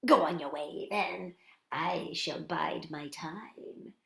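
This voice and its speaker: Hungry bridge troll played by a woman for a children's tale: menacing, blustering, very slow, in an echoing room. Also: low voice, creaky, exaggerated voice. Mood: grudging, scheming. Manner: fuming, drawn-out menacing chant. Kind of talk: children's storybook character voice. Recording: roomy, echoing sound.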